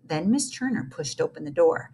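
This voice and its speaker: A woman narrating a children's storybook aloud in an expressive, dramatic way. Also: deep voice, normal speed, creaky, a little hiss or hum.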